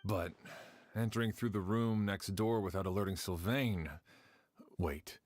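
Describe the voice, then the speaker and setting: An actor playing a composed, methodical investigator in a video game, serious and inquisitive. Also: male voice, lowish voice, a little slow, a little mellow, no room sound, studio quality. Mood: serious, probing. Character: composed, methodical male investigator questioning witnesses. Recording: faintly noisy game livestream capture.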